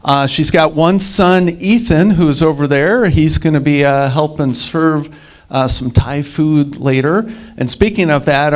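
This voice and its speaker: A man hosting a community event, introducing a guest speaker in a hesitant way, with frequent fillers. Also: a little high. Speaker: event host and moderator.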